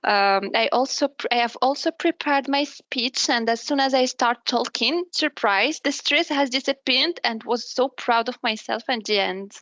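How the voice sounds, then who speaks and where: Woman from Morocco, native Arabic speaker, measured interview answers, dry dull recording. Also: fairly high pitch, fairly bright tone, no room sound. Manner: careful, measured, with self-corrections. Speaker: business development manager, guest.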